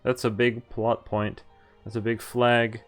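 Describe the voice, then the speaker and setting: A man's voice: medium pitch, level tone, a little slow. A man giving casual playthrough commentary on a game, reading its text aloud with wry, offhand asides. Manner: wry offhand asides between read lines. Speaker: game streamer. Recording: game music behind the voice. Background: visual novel music.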